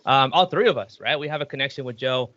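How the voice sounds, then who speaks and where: A man's voice: mid-range voice, fast talker, sharp tone, no echo, muffled recording. A man hosting a podcast, chatting loosely with slang and Spanish words mixed in. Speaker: podcast host. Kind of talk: casual chat.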